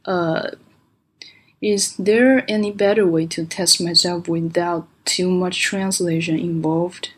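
A woman's voice: on the low side, fairly even intonation, husky. A woman from China, a native Chinese speaker, carefully asking a podcast host a question in a recorded voice message. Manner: careful, deliberate English. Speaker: listener sending a question.

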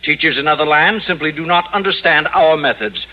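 An actor playing a stern, formal, authoritative school board official in 1950s radio comedy. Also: male voice, a little high, a little fast, natural voice. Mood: formal, self-assured. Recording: muffled old radio recording.

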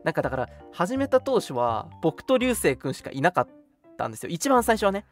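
A man's voice: on the high side, very animated, brisk, soft background music. A man in his twenties co-hosting a radio show, reminiscing in casual conversation. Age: 20s. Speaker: radio show co-host and drama studio member.

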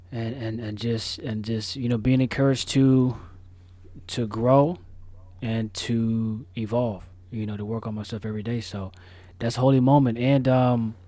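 A man's voice: mid-range voice, warm tone, no echo. A man co-hosting a podcast in casual chat, rambling with repeated words and slang. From Florida. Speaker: podcast co-host.

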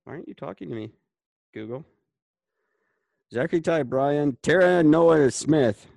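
A man's voice: a little high, animated, a little slow, creaky, darkish tone, no echo, clean studio sound. A man in his forties co-hosting a podcast, rambling and sarcastic, swearing freely in casual banter. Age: 40s. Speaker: podcast co-host.

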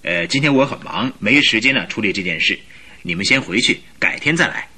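An actor playing a nervous, impatient company director with a guilty secret in a radio drama, husky and hesitant. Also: male voice, fairly low pitch, animated, a little fast, sharp tone, exaggerated voice. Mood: impatient, dismissive. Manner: hesitant, evasive, dismissive. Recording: radio drama with faint music.

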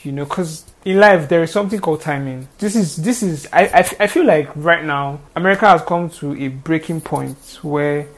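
A woman's voice: low voice, moderate pace, hardly any pauses. A woman with a Nigerian accent and a small, thin voice, giving an earnest off-the-cuff talk on social issues, often repeating phrases for emphasis.

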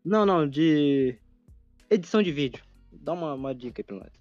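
A young Brazilian man in casual chat, excitable and slangy, swearing often. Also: fairly high pitch, a little fast, no room sound, lo-fi sound, soft background music. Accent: Brazilian Portuguese.

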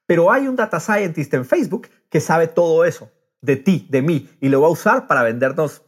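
A man with a slightly husky voice talking casually and emphatically as the host of a podcast. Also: on the high side, moderate pace. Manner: animated, emphatic, opinionated. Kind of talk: casual conversational podcast talk.

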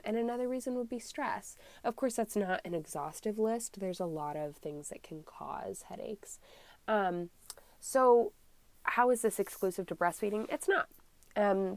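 A woman's voice: mid-range voice, a little mellow, no echo. A young woman with a cold, slightly scratchy and congested, chatting casually as an herbalist podcast host with lots of ums. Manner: chatty, many ums, friendly explaining. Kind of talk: casual solo podcast talk.

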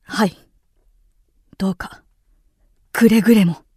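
A woman's voice: mid-range voice, fairly even intonation, takes it slowly, darkish tone, breathy edge, dry recording. A woman acting in a radio drama as an earnest, steadfast young male envoy, speaking formally and with firm deference. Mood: earnest, resolute.

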